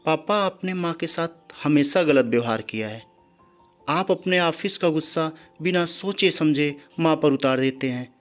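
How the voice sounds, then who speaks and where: A man's voice: on the high side, unhurried, natural voice. Outspoken young woman, storybook daughter, acted by male narrator, earnest and firm, faint music bed. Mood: hurt, accusing. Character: outspoken young adult daughter, hurt but determined. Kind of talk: storybook character dialogue. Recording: background music under the voice.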